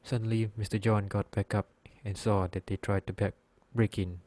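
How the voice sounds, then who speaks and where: A man reading scripted story narration as narrator, halting now and then as he slips over words. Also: lowish voice, little pitch movement, warm tone, dry recording. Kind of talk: scripted narration, read aloud.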